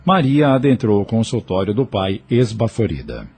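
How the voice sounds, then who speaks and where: A man narrating an audio drama in a measured storytelling manner. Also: on the low side, sing-song, darkish tone, a little hiss or hum.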